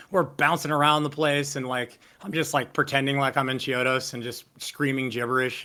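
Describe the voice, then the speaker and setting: Low-voiced man telling stories on a call. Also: bright, forceful tone. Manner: rambling, lots of fillers and restarts, swears casually. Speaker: singer interviewee. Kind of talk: casual interview storytelling. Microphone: video call.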